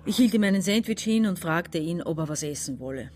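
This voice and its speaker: A woman's voice: fairly low pitch, animated, a little fast, darkish tone, natural voice. An actress playing a domineering, desperate woman of about forty in a radio crime drama, confiding one moment and bossy the next. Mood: subdued recounting. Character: domineering, desperate sister around forty, plotting and escapist. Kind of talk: German radio crime drama acting, narration and dialogue.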